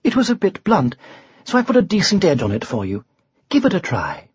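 A man voicing a sly, practical woman witch who speaks in a calm, coaxing way, in a storybook. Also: mid-range voice, a little fast, husky, no echo, lo-fi sound, pitched above the performer's own voice, full character voice. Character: sly, practical female witch, calmly encouraging.

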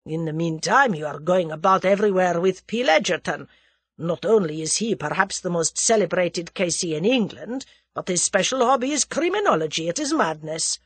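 A woman narrator performs a bitter Russian male plotter from a storybook. His voice is rough and husky, pushed forcefully with lively swings. He snarls with exasperated menace, recorded close and dry. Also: lowish voice, normal speed, dry recording. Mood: bitter reproach. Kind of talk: dramatised audiobook character dialogue.

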